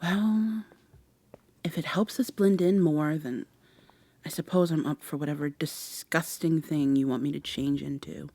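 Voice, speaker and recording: A woman playing a guarded, sardonic human woman in a vampire ASMR roleplay, speaking close and breathy, with sarcastic, wary delivery that slips into whispers. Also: low voice, fairly even intonation, unhurried, warm tone. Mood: sarcastic, uneasy.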